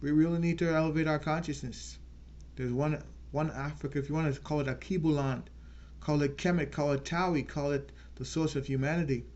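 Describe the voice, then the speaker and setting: A man hosting his own channel, giving an earnest unscripted political talk and slowing down to stress key words. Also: on the high side, fairly even intonation, a little mellow, highs cut off. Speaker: channel host.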